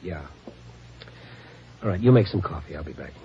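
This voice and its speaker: An actor playing a wry, curious, unflappable investigator, with brisk colloquial lines and narration in an old radio drama. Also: male voice, low voice, animated. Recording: noisy, muffled old radio recording.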